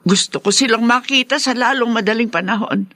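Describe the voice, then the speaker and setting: A woman with a Filipino accent acting an older, secretive, strong-willed mother in a radio drama, speaking haltingly. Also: a little high, bright, forceful tone, no room sound. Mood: secretive, eager.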